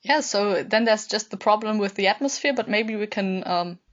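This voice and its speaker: A woman's voice: mid-range voice, normal speed. A woman chatting casually as a podcast guest, improvising in a tentative, hesitant manner. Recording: dull, muffled sound.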